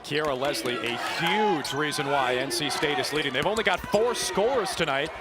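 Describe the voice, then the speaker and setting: Young american guy calling games, kinda high voice, polished announcer energy, lots of venue noise behind him. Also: lively intonation, normal speed. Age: 20s. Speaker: play-by-play sportscaster. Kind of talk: live TV sports commentary and show openings. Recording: noisy sports venue ambience.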